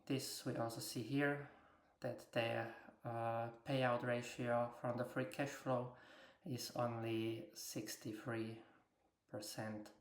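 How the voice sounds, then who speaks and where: A woman speaking English, presenting investment slides unscripted in a halting, hesitant way with many filled pauses. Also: extremely low pitch. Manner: non-native English with grammatical slips. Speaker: investor presenting earnings takeaways. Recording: echoey room sound.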